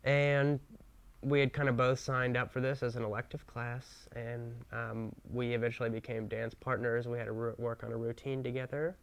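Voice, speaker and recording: A man in his twenties from the United States, speaking in a measured, thoughtful way about his relationship in an interview. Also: medium pitch, flat delivery, gravelly. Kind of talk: personal testimony in a documentary interview.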